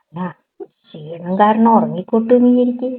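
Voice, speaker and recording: A woman with a northern Kerala accent chatting casually and intimately on a phone call, in a husky voice. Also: fairly high pitch, lively intonation, a little fast. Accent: Kerala Malayalam, northern. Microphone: telephone call.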